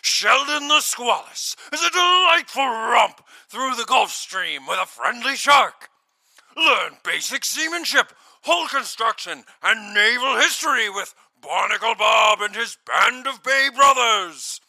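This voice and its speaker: A high-pitched children's TV announcer swings widely in pitch. His creaky, forceful voice is recorded dry and close, with exaggerated promo delivery. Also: lively intonation, a little slow, dry recording, exaggerated voice, poor audio. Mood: booming cheer. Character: shouty children's programming announcer turning bleakly serious.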